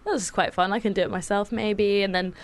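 A young woman with an English accent talks casually and easily, full of fillers and little laughs. Her voice sits at a middle pitch. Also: steady tone, brisk. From England. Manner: chatty, laughing, lots of fillers. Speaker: musician guest. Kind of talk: casual chat.

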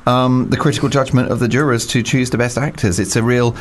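Guy hosting a panel show, animated, punches his key words. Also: medium pitch, a little fast. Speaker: host. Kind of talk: topical panel talk show.

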